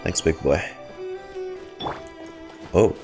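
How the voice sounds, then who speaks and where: A man giving casual, sweary gameplay commentary in short muttered reactions, as a streamer. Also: very slow. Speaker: streamer reacting to a video game. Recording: game music behind the voice. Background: video game music.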